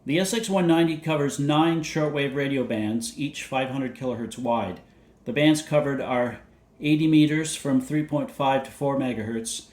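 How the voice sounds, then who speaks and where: Guy reading out gear specs for a video, matter-of-fact, measured, mid pitch, roomy echo. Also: a little mellow. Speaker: presenter of vintage radio videos. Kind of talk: scripted narration, technical product overview. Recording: roomy, echoey indoor sound.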